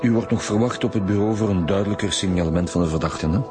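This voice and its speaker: Actor as deep-voiced, blunt Flemish male detective. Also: quick pace, lightly acted. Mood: firm, sceptical. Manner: blunt, firm. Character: brisk, sceptical male homicide detective. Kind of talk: radio drama acting. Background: loud music.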